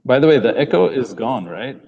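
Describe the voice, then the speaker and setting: A man's voice: mid-range voice, moderate pace, gravelly. A young man from Los Angeles with an Armenian-American accent, chatting loosely in a live Q&A and laughing often. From Los Angeles, USA. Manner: relaxed, rambling, laughs often. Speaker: fitness coach answering questions. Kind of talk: casual live Q&A. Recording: muffled lo-fi stream audio.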